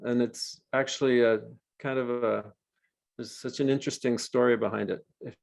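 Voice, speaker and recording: A man asks a question over a video call in a polite, hesitant way. His voice is of ordinary pitch. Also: steady tone, moderate pace, creaky. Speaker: participant asking a question. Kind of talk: casual chat on a video call.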